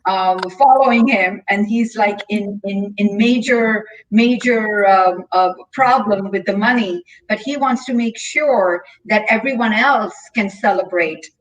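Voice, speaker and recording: A woman's voice: mid-range voice, a little slow, few pauses. A middle-aged woman talking thoughtfully and conversationally as a panelist on a video call from home. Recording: distant mic, noisy home video call.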